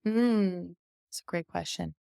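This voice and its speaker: A woman's voice: fairly low pitch, unhurried, vocal fry, warm tone, clear, resonant tone, no room sound, clean studio sound. A young adult woman whose first language is Persian, speaking as a podcast guest in a candid, chatty way with frequent fillers. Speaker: interview guest, violinist. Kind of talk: casual podcast conversation.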